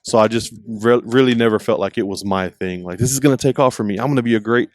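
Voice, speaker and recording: A man's voice: mid-range voice, fast. A man hosting a podcast, chatting in a friendly, storytelling way at an outdoor skate park, wearing a headset. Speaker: podcast host. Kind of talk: casual chat. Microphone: mic with headset monitoring.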